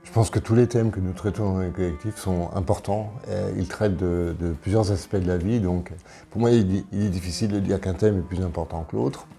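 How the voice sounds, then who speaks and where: Very deep, dark-voiced man in his sixties. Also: steady tone, fairly quick, vocal fry. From Paris, France. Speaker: election candidate being interviewed. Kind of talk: interview answers, self-presentation.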